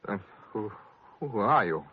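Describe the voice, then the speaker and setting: An actor playing a confused young amnesiac, halting and stammering, in a 1940s-style radio drama. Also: male voice, lowish voice, animated. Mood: dazed. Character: dazed, confused young male amnesiac doctor. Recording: narrow, thin vintage radio sound.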